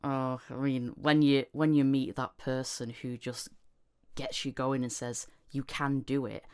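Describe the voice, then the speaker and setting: A woman hosting a casual interview chat, encouraging and a little halting as she rephrases her questions. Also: rumbling low, little pitch movement, darkish tone, clean tone. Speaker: podcast host, voice actor.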